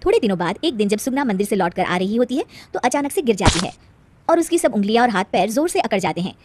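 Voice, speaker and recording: Female storyteller for children's tales, brisk pace, high and lively pitch. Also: noisy background. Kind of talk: scripted narration of a children's story.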